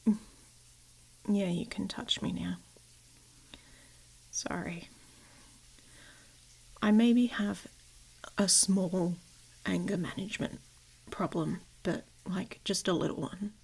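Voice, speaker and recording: A woman playing a gruff but protective werewolf in an intimate ASMR romance roleplay, speaking bluntly and haltingly in a husky voice that often drops to a whisper. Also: fairly low pitch, fairly even intonation, darkish tone, exaggerated voice. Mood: grumbling, teasing. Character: gruff, grumpy, protective she-wolf shifter woman, secretly affectionate.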